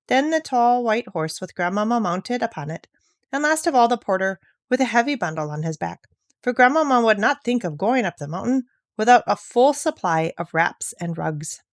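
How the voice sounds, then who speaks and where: A woman's voice: fairly high pitch, no echo. Woman reading a storybook, dry sound. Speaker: narrator, reader. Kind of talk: scripted storybook read-aloud.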